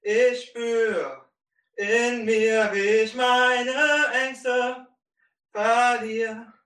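A man's voice: extremely high pitch, fairly even intonation, drawn-out words, bright, forceful tone. A man, a singer, introducing and singing a parody song at the close of a gathering. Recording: roomy, from far off.

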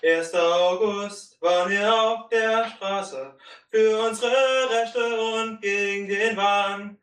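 A man's voice: sits high, fairly even intonation, slow, fairly bright tone. A man, a singer, introducing and singing a parody song at the close of a gathering. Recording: roomy, from far off.

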